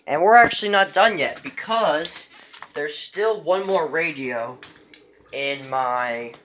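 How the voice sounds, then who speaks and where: A man giving a casual show-and-tell as a vlogger, rambling and halting as he goes. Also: mid-range voice, fairly bright tone, slight background noise. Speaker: vlogger showing a radio collection. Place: indoors in a room at home.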